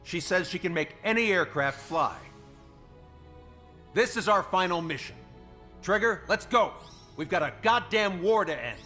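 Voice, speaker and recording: A man's voice: high-pitched, expressive, a little slow, pauses often, sharp tone, soft background music, full character voice. An actor playing a resolute squadron commander in a video game, briefing his pilots in a firm, grave, rousing manner. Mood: determined.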